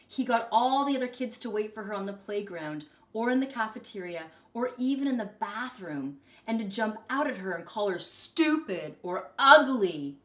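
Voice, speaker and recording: A woman reading a children's story aloud expressively, with deliberate, dramatic emphasis. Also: medium pitch, fairly bright tone, roomy sound. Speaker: storybook reader, teacher.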